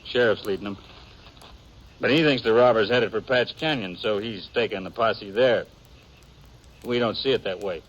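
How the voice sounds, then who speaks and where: Folksy young cowboy, muffled old radio. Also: male voice, lowish voice, moderate pace, long pauses, slightly rough. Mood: probing. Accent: American Western. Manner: folksy rural drawl, hesitant hedging. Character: eager young cowboy posing as bandit hunter, smooth talker. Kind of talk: 1950s radio western acting. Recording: muffled, noisy 1950s radio recording.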